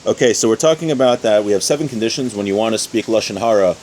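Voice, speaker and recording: A man's voice: fairly low pitch, fast, darkish tone, low-quality recording. Man teaching outdoors, faint background noise. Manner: conversational, repeats and rephrases, checks in with "right?". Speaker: religious class teacher. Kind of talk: informal religious lesson, reading and explaining text.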